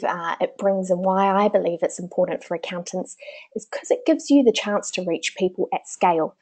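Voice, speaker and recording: A woman's voice: mid-range voice, dark tone. A woman with a New Zealand accent presenting a business webinar in a chatty way, with many small fillers.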